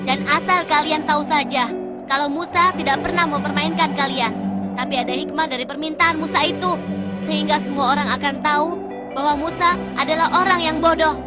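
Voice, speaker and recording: A woman's voice: sits high, steady tone, fairly quick, lightly acted. A woman voicing an earnest young male disciple in a cartoon, talking in a confiding way. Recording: loud music underneath.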